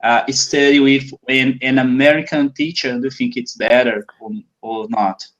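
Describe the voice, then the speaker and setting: A man's voice: mid-range voice, fairly even intonation, normal speed, a bit bright, lo-fi sound. A Brazilian man, a native Portuguese speaker, asking interview questions in English on a video call, hesitant and searching for words. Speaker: host, English teacher.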